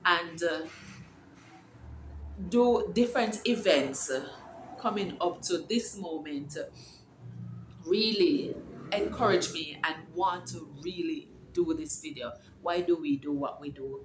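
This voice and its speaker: A woman's voice: on the low side, slow, long pauses, slight background noise. A middle-aged woman vlogging from home, talking in a casual, encouraging, preachy way and rambling with restarts. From Jamaica. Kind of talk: casual faith-filled vlog. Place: her home. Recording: echoey room with faint ambience.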